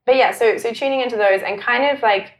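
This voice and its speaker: A woman's voice: fairly high pitch, fast. A woman from South Africa who later lived in New Zealand, talking casually and informally as an interview guest, peppering her sentences with "like". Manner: informal, animated phrasing with frequent "like". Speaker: podcast guest, sexuality and mindfulness facilitator.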